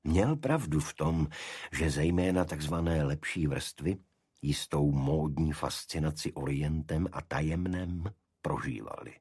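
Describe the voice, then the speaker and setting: A man reading a detective story as scripted dramatic narration, in a measured, literary manner with a husky voice. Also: very deep voice, darkish tone, no echo, studio quality. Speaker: audiobook narrator.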